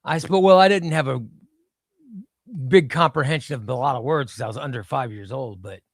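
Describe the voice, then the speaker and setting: A man's voice: medium pitch, a little fast, no room sound. A man from Illinois whose first language was Spanish, hosting a casual livestream and reading viewer comments in a rambling, offhand way with some swearing. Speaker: comic book seller and livestream host.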